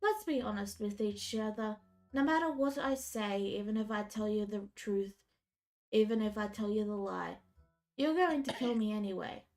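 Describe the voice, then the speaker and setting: A woman's voice: mid-range voice, normal speed, a little mellow, soft background music, lightly acted. A woman voicing a resigned captive advisor. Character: captured male royal advisor, cornered and bargaining.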